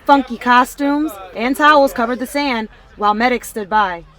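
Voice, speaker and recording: A woman's voice: fairly high pitch, fairly bright tone. Woman reporting a student news story. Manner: scripted, measured delivery.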